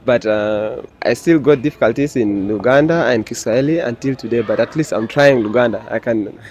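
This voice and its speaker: Young man, relaxed student voice, plain and friendly interviewee. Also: medium pitch, brisk, muffled recording, slight background noise. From South Sudan. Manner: easygoing, with fillers and small laughs. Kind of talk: casual interview answers.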